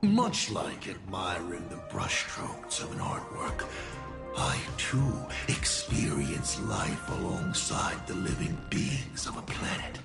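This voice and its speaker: Slow, creaky, theatrical male villain. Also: deep voice, huge pitch swings, over music, full character voice. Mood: grandiose, savoring. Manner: slow, mocking, grandiose, savoring his words. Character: theatrical male villain, mocking artist of destruction, fake father. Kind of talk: video game voice acting.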